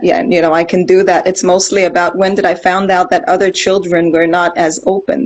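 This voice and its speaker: A woman's voice: medium pitch, low-quality recording. A middle-aged woman from Austria, a guest in a casual interview, talking in a reflective, rambling way with many fillers and restarts.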